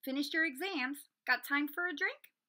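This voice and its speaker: A woman's voice: high-pitched, moderate pace, pushed and bright, pitched above the performer's own voice. A woman voicing a big, easygoing male gamekeeper in a storybook, casual, rambling and unguarded. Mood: casual, friendly.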